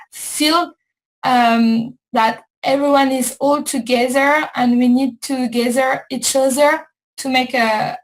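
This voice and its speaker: A woman hosting a casual show, talking slowly and repeating herself as she searches for words. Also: high-pitched, rough recording. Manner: slow, repetitive, searching for words. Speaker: host. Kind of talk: casual chat.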